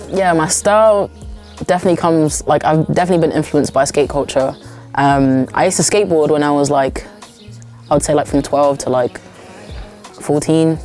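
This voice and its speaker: A woman's voice: sits low, normal speed, soft background music. A woman, a recording artist talking casually in an interview, with lots of "like" and "um" fillers. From South London. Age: 20s. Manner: relaxed, many "like" and "um" fillers. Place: villa.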